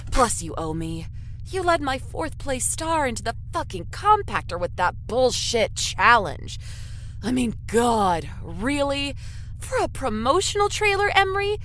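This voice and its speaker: Sweary sarcastic exec woman, highish voice that swings around a lot, audio drama. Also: very animated, unhurried, a bit bright, a little hiss or hum, full character voice. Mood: irritated, sardonic. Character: hot-tempered, cynical, foul-mouthed woman game show executive.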